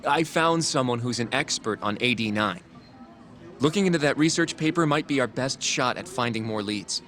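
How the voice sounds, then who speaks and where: A man's voice: medium pitch, expressive, normal speed, a bit bright, lightly acted. An actor playing an earnest, polite young male colleague in a video game, mild and a little hesitant in conversation. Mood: curious, helpful.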